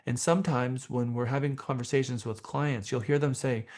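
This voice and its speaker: A man teaching a professional class as a trainer, speaking in a deliberate, emphatic way with rhetorical questions. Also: medium pitch, steady tone, a little mellow. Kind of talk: motivational training seminar talk.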